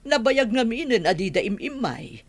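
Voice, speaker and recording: A woman's voice: on the high side, big pitch swings, moderate pace. An actress playing a middle-aged mother and household madam, wistful about a married child, in a radio drama. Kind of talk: Ilocano comedy-drama radio acting.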